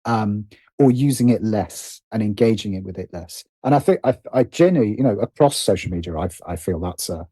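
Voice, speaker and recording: A man's voice: on the low side, lively intonation, a little fast, dark tone, slightly rough, no echo. A middle-aged man chatting as an interview guest, hesitant and often restarting his sentences. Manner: hesitant, self-correcting with repeated false starts. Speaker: podcast guest, writer and producer. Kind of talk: casual interview conversation.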